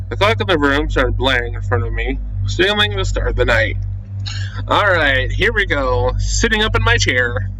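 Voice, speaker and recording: Bright-voiced young man doing a let's play. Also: mid-range voice, a little hiss or hum, low-quality recording. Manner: slangy, jumpy, talking to himself. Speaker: gaming video creator. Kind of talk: casual let's play, reading visual novel text aloud and reacting.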